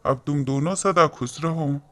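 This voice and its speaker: Cartoon swami, played by a man: fairly high male voice, benevolent, gently instructing, grants wishes. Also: unhurried. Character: kind, wish-granting male holy man. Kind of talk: cartoon moral story acting.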